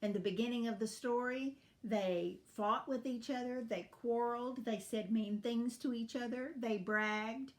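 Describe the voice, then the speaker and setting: A woman storyteller reading expressively for children in a deliberate, teacherly manner. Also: a little high, fairly even intonation, poor audio.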